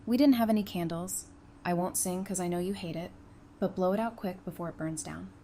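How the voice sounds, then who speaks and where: A woman's voice: fairly low pitch, a little fast, mellow, lightly acted. Woman playing playful teasing partner, noisy background. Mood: warm, encouraging. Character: teasing, affectionate woman in her early thirties. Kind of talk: audio drama acting.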